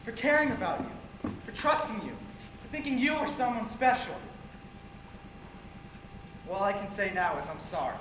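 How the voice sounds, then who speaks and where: Casting: male actor as wounded, earnest young man, high voice, stage drama; echoey, noisy theater capture. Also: very animated, normal speed, pauses often, a bit bright. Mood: bitter, wounded. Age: young adult. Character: hurt, earnest young man betrayed by friends. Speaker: stage actor in a one-act play. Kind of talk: amateur stage acting, projected dialogue. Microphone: distant audience camera.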